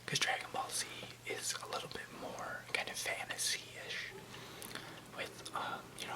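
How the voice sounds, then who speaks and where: A young adult ASMR host speaking in a soft, breathy whisper, rambling casually in a hesitant, unhurried way. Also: low-quality recording.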